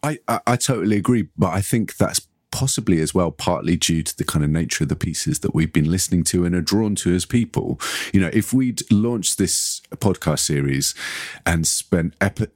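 A man with a very low, husky voice, recorded close and dry. He talks as a podcast co-host in a loose, thoughtful discussion, building his points at length in a conversational way. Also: expressive, fairly quick, dry recording. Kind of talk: casual analytical discussion.

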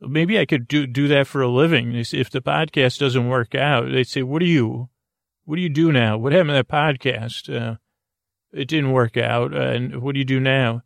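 A man with a gravelly voice hosts a podcast meant to put people to sleep. He talks slowly through an aimless story, often stumbling, restarting and filling gaps with "uh." The sound is close and dry, with no room around it. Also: medium pitch, fairly even intonation, no room sound. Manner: meandering, halting, full of restarts and uhs. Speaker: podcast host. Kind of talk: rambling bedtime story to put listeners to sleep.

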